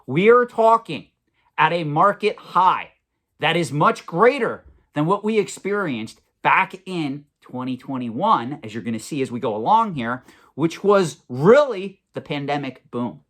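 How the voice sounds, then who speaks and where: A man's voice: fairly high pitch, sing-song, measured pace. A man with a head cold, sounding congested, delivering a one-take monologue to camera as a collectibles investment host, emphatic and prone to repeating himself. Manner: emphatic, repeats himself, swings loud and soft. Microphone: camera mic, self-recorded.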